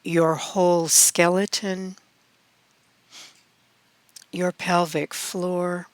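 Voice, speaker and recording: Very slow, smooth-voiced woman guiding meditation. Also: lowish voice, dry recording. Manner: calm, measured, with long pauses between phrases. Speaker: meditation guide. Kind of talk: guided meditation.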